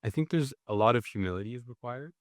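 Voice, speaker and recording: A man's voice: medium pitch, expressive, fast talker, dry recording, clean studio sound. A man from Canada, a writer and journalist being interviewed, answers thoughtfully with frequent "like"s and restarts. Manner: thoughtful, with many filler "like"s and restarts.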